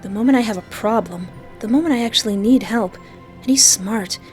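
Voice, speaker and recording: A woman's voice: medium pitch, brisk, a little mellow. A woman gaming host reading a visual novel aloud and reacting with playful, folksy exclamations. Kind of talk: animated let's play commentary with dramatic read-aloud. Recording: clear background music. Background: music.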